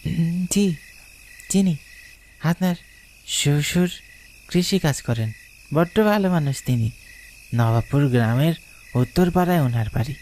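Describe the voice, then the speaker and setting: Man acting eerie aloof cart driver, dark mellow tone, cryptic, formal, cartoon horror, faint insects. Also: fairly high pitch, a little slow, long pauses, slight background noise, lightly acted. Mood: guarded, calm. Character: mysterious, aloof male bullock-cart driver of the night forest.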